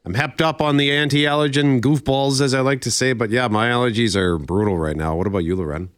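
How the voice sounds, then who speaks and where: A man's voice: mid-range voice, a little fast, fairly bright tone, no echo, clean studio sound. A man co-hosting a radio show in easygoing, casual chat, a bit sniffly from allergies. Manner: easygoing, chatty with restarts.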